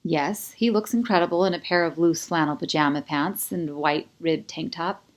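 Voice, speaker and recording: A woman reading first-person scripted audiobook narration in an expressive, confiding manner. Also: sits low, normal speed. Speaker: author narrating own audiobook.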